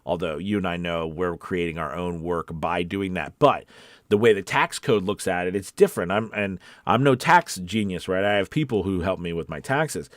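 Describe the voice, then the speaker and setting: Deep-voiced American man hosting a livestream. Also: normal speed, no echo, clean studio sound. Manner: emphatic, repeats key points for encouragement. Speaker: host of a live stream coaching voice actors. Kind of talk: casual livestream chat reading out viewer comments.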